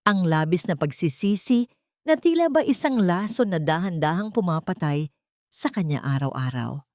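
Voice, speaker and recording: A woman narrates a romantic audio drama in a scripted, dramatic style. Her voice sits on the low side, and the recording is dry and close. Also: expressive, normal speed, a little mellow, no room sound.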